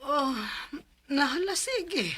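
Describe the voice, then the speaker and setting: A woman's voice: high voice, lively intonation, takes it slowly, a bit bright, exaggerated voice. An actress playing a concerned, hesitant middle-aged mother in a Bisaya radio drama, with a Cebuano accent. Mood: resigned. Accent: Cebuano Philippine. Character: concerned middle-aged Filipina mother, reluctant but yielding.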